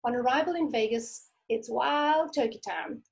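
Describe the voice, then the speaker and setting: A woman voicing a brash, hard-drinking gambler boyfriend in a boisterous, shouting manner while reading her memoir aloud. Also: very high voice, moderate pace, vocal fry, husky, full character voice.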